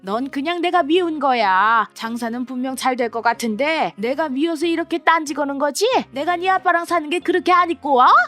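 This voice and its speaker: A woman's voice: fairly quick, few pauses, a bit bright. A woman voicing a brash, pushy middle-aged stepmother who talks down and scolds. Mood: outraged. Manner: shrill, scolding, condescending. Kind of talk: dramatized story reading.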